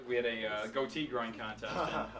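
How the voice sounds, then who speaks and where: A man's voice: mid-range voice, fairly quick, fairly bright tone. A man handing out joke awards to a group of friends, teasing and laughing as he announces each category. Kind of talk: casual joking award announcements. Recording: echoey, from far off on a home camcorder.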